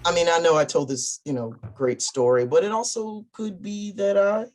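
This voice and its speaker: Male guest, a touch higher-pitched, easygoing, jokey storyteller. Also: steady tone, moderate pace. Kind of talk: casual chat interview.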